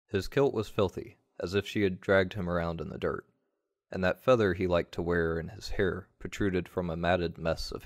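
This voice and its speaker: A man narrating a scripted first-person story in a wry manner. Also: low-pitched.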